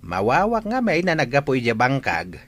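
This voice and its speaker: A man with a Filipino accent playing a gruff older farmer father in a radio drama, speaking emphatically. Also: mid-range voice, huge pitch swings, moderate pace, fairly bright tone. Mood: alarmed. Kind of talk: Ilocano radio drama acting.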